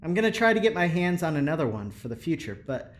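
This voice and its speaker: A man's voice: fairly high pitch, big pitch swings, quick pace. A man playing a friendly ship engineer who trades favors, cajoling and hesitant, in an audio drama. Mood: persuading. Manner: cajoling, hesitant, eager to please.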